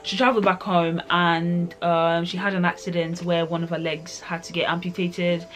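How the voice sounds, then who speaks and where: A woman's voice: lowish voice, flat delivery, moderate pace, faint music behind. A young woman reviewing a book in a chatty, rambling way, full of "like" and asides. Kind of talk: casual book review vlog.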